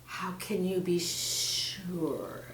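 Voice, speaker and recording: A woman voicing a sly, menacing male snake in a storybook, falsely caring, with a hushed, breathy hiss and drawn-out delivery. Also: on the low side, steady tone, echoey room, recorded from a distance. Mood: dismissive. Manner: slow, drawn-out, sinister delivery.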